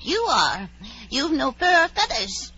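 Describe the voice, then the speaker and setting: A woman's voice: high voice, big pitch swings, a little slow, pushed and bright, full character voice. A woman voicing a stubborn, argumentative bird with a snappish, contrary manner, in a 1940s radio drama. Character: bossy, stubborn, argumentative parrot-like lory bird. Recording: dull, noisy 1940s radio recording.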